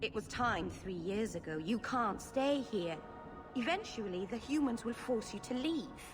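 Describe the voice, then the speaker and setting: A woman voicing an earnest, stubborn young elf mage in a fantasy video game, with expressive delivery. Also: on the high side, measured pace. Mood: reproachful. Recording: long reverb, faint music behind.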